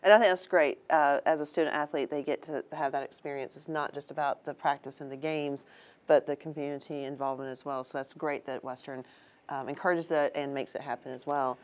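A woman's voice: low voice, steady tone, brisk. A middle-aged woman from North Carolina with a Southern accent, hosting a local TV interview in a friendly, upbeat way. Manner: friendly, upbeat, laughs often. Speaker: talk show host. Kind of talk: studio interview. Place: TV studio set.

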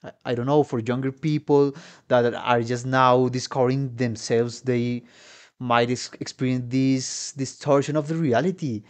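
A man's voice: medium pitch, steady tone, normal speed. A young man giving casual, slangy reaction commentary as a musician, exclaiming and thinking aloud. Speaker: musician reacting to game videos. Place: small home room with guitars. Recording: game music playing behind. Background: game trailer music.

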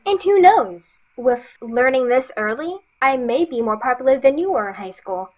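A woman acts a little girl in an audio drama, eager and cheeky. The voice is high and very animated, with music behind it. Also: moderate pace. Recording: music under the voice.

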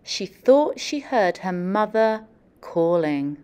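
Female children's storyteller, thirties: animated, slow and deliberate, encouraging tone. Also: mid-range voice, noisy background. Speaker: teacher or librarian reading to children. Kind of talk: expressive children's storytelling and classroom questioning.

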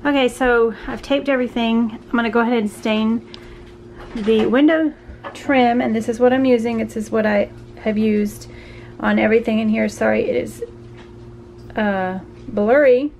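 A woman's voice: a little high, fairly even intonation, normal speed, pauses often, a little mellow. Chatty woman narrating a kitchen renovation. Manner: chatty, explains as she works, with fillers and restarts. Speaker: home renovation vlogger. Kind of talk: casual DIY vlog narration. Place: small home kitchen, indoors.

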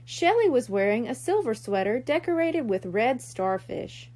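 A woman narrates a children's storybook as a reader. Her voice is high and her delivery is expressive, with lively rises and falls. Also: normal speed.

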